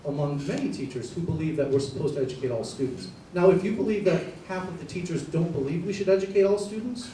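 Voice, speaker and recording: A man's voice: on the high side, fast talker, hardly any pauses, mellow, slight background noise. A man speaking as a teacher at a board meeting, firm and defensive. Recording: distant mic, echoey room.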